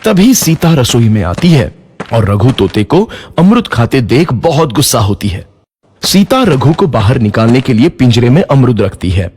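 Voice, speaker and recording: A man narrating a children's cartoon story in a lively, expressive way. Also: mid-range voice, normal speed, slightly rough.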